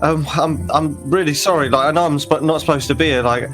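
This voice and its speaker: A man's voice: fairly high pitch, a bit bright, faint music behind, voice pushed up, lightly acted. Man playing a stammering, pleading boy. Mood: pleading, feigning exhaustion. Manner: halting, stammering, over-apologetic. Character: boy feigning thirst and sickness, pleading then sulkily sarcastic. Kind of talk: tabletop role-play acting.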